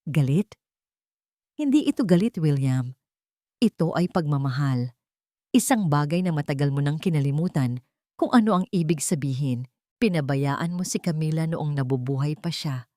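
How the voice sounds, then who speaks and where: A woman's voice: sits low, animated, moderate pace, long pauses, warm tone, dry recording, clean studio sound. A woman voicing a cold, bitter, vengeful rich aunt in an audiobook drama, speaking bluntly and commandingly. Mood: bitter, accusing.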